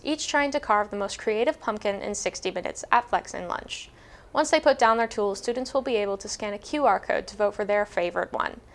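A teenage girl with a fairly high voice anchors a school news broadcast from a studio desk. She reads the items and adds chatty asides to her co-anchor. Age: teens.

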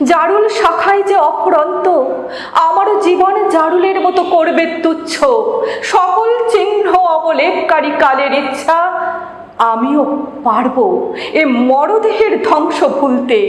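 A woman reciting poetry in a dramatic, measured manner with drawn-out pauses. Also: high voice. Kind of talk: expressive Bengali poetry recitation. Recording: reverberant echo, noticeable background hiss.

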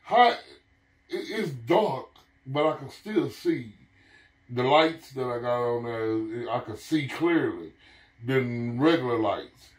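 A man's voice: mid-range voice, expressive, takes it slowly. Man, 50s, profane casual storyteller, repeats himself, recorded in a live room away from the mic. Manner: profane, repetitive, acts out reactions. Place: living room couch, indoors. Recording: roomy, distant from the mic.

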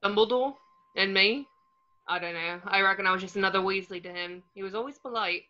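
A woman's voice: mid-range voice, a little fast, pushed and bright, natural voice. A woman reading the part of a loyal, blunt British teenage boy wizard at a table read on a video call. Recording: muffled lo-fi video call audio.

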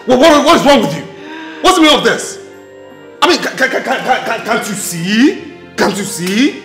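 A man's voice: high voice, lively intonation, measured pace, a bit bright, echoey room, faint music behind. An actor playing a flustered, evasive young man caught two-timing, stammering and repeating himself in a film melodrama. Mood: indignant shouting. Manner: stammering, repetitive.

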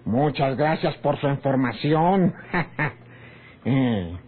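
Sly, slow-talking Mexican ranch rogue, muffled. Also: male voice, medium pitch, animated, husky, heavily acted. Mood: teasing, flirtatious. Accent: rural northern Mexican. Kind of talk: old-time radio drama acting. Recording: muffled, noisy old radio recording.

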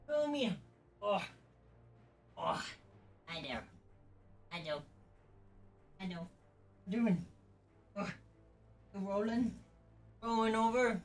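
Woman cooing slowly at her dog, short repeated phrases, roomy and off mic. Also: medium pitch, expressive. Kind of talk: playful baby talk to a pet dog. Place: indoor room, away from the mic. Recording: roomy, distant from mic, music behind.

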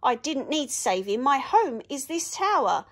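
A feisty young storybook princess, thoroughly indignant , played by a woman reading aloud. Her voice is high, loud and emphatic, swooping with outrage. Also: very animated, a little slow, heavily acted. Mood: defiant.

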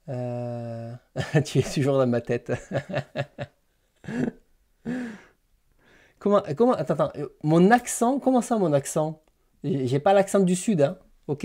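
A man's voice: a little high, warm tone. A man hosting a casual live stream, hesitantly thinking aloud as he types. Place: small indoor room lined with bookshelves.

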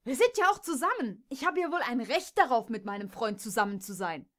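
A woman voicing a young hot-tempered mare who shouts shrilly when angry. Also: sits high, expressive, moderate pace, pitched above the performer's own voice. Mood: furious.

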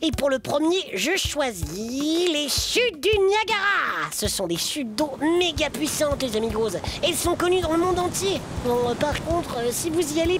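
A woman voicing an excitable boy teddy bear puppet with a Belgian French accent, talking in an enthusiastic, slangy way. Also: sits high. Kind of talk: children's puppet show. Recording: music behind the voice.

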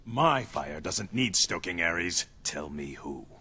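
A man's voice: low-pitched, lively intonation, slow pace, over music, heavily acted. A man playing a proud, commanding undersea warrior king in a video game cutscene, speaking tersely and imperiously.

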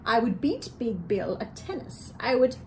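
A young woman putting on a British accent, reading a children's story in a theatrical, genteel and expressive way with playful banter. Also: fairly high pitch, moderate pace. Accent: British, put on. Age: young adult. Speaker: children's storybook reader, actor. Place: living room with a piano. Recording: faint background room noise.

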